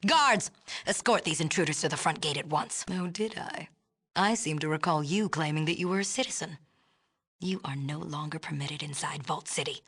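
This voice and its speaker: A stern female ruler of a walled city speaks in a low, husky voice. Her delivery is formal and clipped, imperious and condescending, as scripted game dialogue. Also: a bit bright. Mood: cold, threatening.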